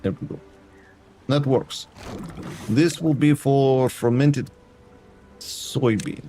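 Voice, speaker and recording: A man's voice: mid-range voice, takes it slowly, dark tone, slight background noise. A Canadian man streaming a game, chatting to his viewers in a put-on foreign accent that drops articles. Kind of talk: casual gameplay chat with viewers.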